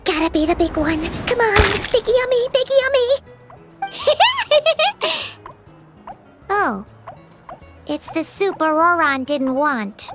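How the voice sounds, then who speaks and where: A woman voicing a tiny, excitable, food-loving fairy companion in a video game, bubbly and childlike, full of exclamations. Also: very high voice, takes it slowly, pauses often, over music, full character voice. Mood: greedy delight. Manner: bubbly, exclaiming, speaks of self in third person.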